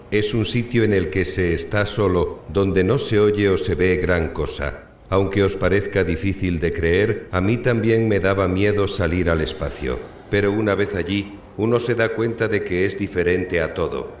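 Man acting very deep, courteous artificial intelligence. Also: lightly acted. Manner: measured, courteous, articulate. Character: calm, eloquent male artificial intelligence aboard a spaceship. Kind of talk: scripted audio drama press conference. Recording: echoey room with faint noise.

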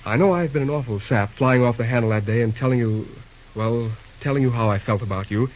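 An actor playing a brash, plain-spoken young railroad superintendent in an old-time radio drama, blunt, slangy and prone to stammer. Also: male voice, medium pitch, brisk, highs cut off, slight background noise, lightly acted. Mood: firm, earnest.

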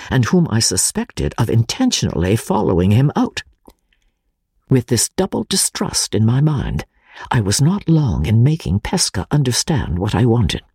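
Theatrical woman narrator, hugely varied pitch. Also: darkish tone, breathy edge, dry recording, clean studio sound. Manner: theatrical, suspenseful. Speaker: audiobook narrator. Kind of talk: dramatic scripted narration of classic fiction.

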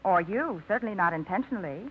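A flustered small-town librarian. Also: female voice, on the low side, lively intonation, fast, husky. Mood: apologetic. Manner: flustered, self-scolding, laughing it off. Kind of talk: 1950s radio drama acting. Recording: dull old radio broadcast.

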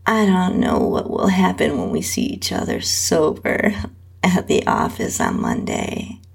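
A woman with a husky, breathy voice, reading a scripted first-person adult story slowly as its narrator. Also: low-pitched, huge pitch swings. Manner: slow, drawn-out reading with whispery dips.

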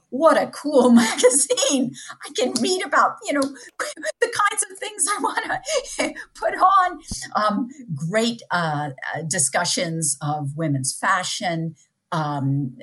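A woman with a slightly raspy, lower voice talks as an invited guest in a discussion. She is thoughtful and enthusiastic, circling back and restarting phrases as she builds her point. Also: a bit bright. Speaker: interviewed guest, scholar and book editor. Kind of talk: spoken interview discussion.

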